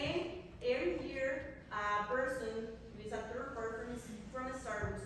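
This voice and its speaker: A young Costa Rican woman speaks English with a Spanish accent, explaining earnestly and patiently, often pausing on fillers and restarting a phrase. Her voice sits a little high and comes from some way off the mic. It rings through a big echoey hall over steady background noise. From Costa Rica. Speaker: coffee agronomist. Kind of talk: presentation to a visiting group. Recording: echoey hall from far off, noisy.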